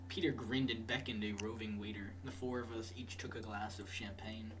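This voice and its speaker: A man's voice: lowish voice, fairly even intonation, a little fast, far from the mic, noisy background. A man hosting a group game playthrough, reading story narration in an informal, jokey way with some stumbles.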